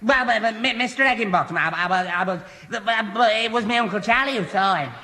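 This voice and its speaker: Gormless, stammering, sharp-voiced lad. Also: male voice, sits high, quick pace. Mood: flustered, eager. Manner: stammering, muddled, repeats words. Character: gormless, tongue-tied, eager young man. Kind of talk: old radio sitcom acting. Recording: reverberant old radio comedy recording.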